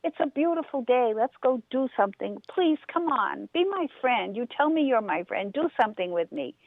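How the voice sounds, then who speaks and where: A woman's voice: high voice, expressive, moderate pace, no echo, voice pushed up. A woman doing a whiny, restless young rabbit. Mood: pleading, eager. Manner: pleading, whiny, eager. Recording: tinny phone line.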